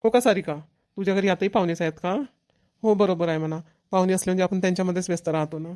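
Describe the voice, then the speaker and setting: A woman voicing an earnest young fiancé in a family cartoon, gently asking questions. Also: on the low side, steady tone, rapid-fire, natural voice. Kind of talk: cartoon family drama voice acting.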